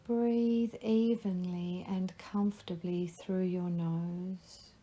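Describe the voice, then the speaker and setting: Female meditation guide, very slow, mellow tone, soft music under. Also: on the low side, fairly even intonation. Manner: slow, soothing, instructive. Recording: faint music behind the voice.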